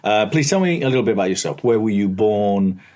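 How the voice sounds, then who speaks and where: A man's voice: lowish voice, lively intonation, a little fast, mellow. A man hosting an interview show, introducing and questioning his guest.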